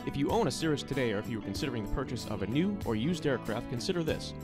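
A man reading a scripted advertisement as a brisk sales voice-over. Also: mid-range voice, expressive. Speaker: advertisement announcer. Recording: voice-over with loud guitar music.

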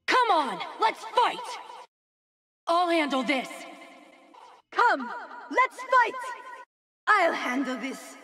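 A woman's voice: very high voice, big pitch swings, drawn-out words, long pauses, sharp tone, exaggerated voice. A woman voicing a fierce, cocky young fighter in a video game. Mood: fired up. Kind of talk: video game battle shouts.

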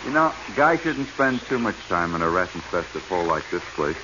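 A man's voice: deep voice, big pitch swings, moderate pace. An actor playing a wry, easygoing man on a treasure-diving crew in a 1940s radio drama. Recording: muffled, noisy old radio recording.

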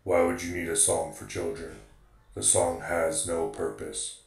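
Male robot for fantasy audio drama, played by a man: low gravelly register, stiff and literal, dry recording. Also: steady tone, unhurried, reverberant, over music. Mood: flat, pedantic. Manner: stiff, precise, formal phrasing. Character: literal-minded, formal male golem robot.

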